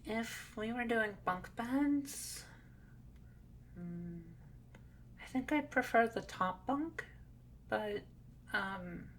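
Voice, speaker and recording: A woman voicing a shy, polite young cat girl in a roleplay audio drama, speaking softly in a hesitant, apologetic way. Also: a little high, expressive, very slow, pauses often, slight background noise, full character voice, rough recording.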